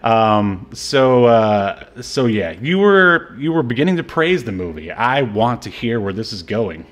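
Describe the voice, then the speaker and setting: A man co-hosting a casual movie podcast, reviewing an old film in an easy, chatty way. He rambles, fills pauses with "um" and "uh", and restarts his sentences often. His voice sits at a middling pitch for a man. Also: very animated, a bit bright. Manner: rambling, many fillers and restarts. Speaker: podcast co-host.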